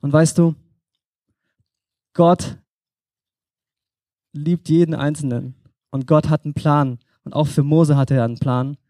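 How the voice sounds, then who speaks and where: A man's voice: fairly high pitch, steady tone, unhurried, pauses often, mellow, no echo, clean studio sound. A young man from Germany preaching an informal sermon, talking conversationally and addressing the listener directly.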